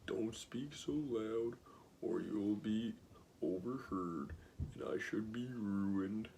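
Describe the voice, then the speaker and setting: A man's voice: on the low side, slow pace, warm tone, slight background noise, low-quality recording. Man putting on a meek, trembling little old wizard. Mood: meek, hushed.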